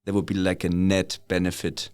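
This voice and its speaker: A man speaking as a founder in an interview, casual and reflective, pausing mid-sentence as he explains. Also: low voice, monotone, darkish tone, clean tone, no echo. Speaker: startup founder, podcast guest.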